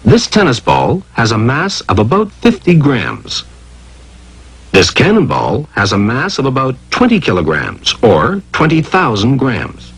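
A man's voice: medium pitch, huge pitch swings, measured pace, slightly rough, dry recording. A man narrating an educational cartoon from a script in a theatrical, emphatic way, posing rhetorical questions.

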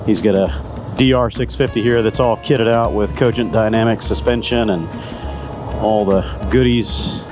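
A man riding a motorcycle and chatting casually to the camera through a helmet mic, rambling, loose and quick to swear and chuckle. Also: lowish voice, steady tone. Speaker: motorcycle vlogger. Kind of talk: casual ride commentary. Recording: music playing under the voice.

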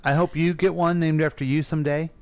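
A man's voice: fairly high pitch, level tone, fast, no echo. An American man co-hosting a casual radio discussion in a conversational way. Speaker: radio co-host.

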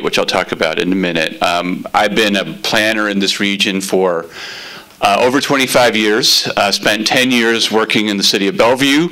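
A middle-aged man, a city transportation planner, giving a loud, chatty talk to a community meeting. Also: lowish voice, low-quality recording. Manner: loud, chatty, relaxed explaining.

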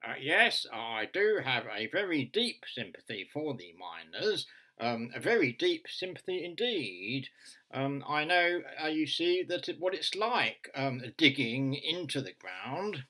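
A man voicing an earnest, long-winded funeral director calling a radio phone-in, nasal, hesitant and repetitive in a deadpan way. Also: fairly high pitch, measured pace, exaggerated voice.

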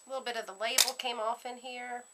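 A woman giving a casual step-by-step craft demonstration at her worktable, chatting in a relaxed way while working with her hands. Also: on the high side, fast talker, heavy background noise. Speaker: craft tutorial host.

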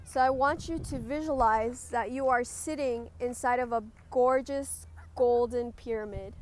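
A woman with a fairly high voice guides a group through a meditation. Her delivery is gentle and instructive. Ambient noise can be heard behind her. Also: steady tone, unhurried. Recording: clear background noise, ambient sound.

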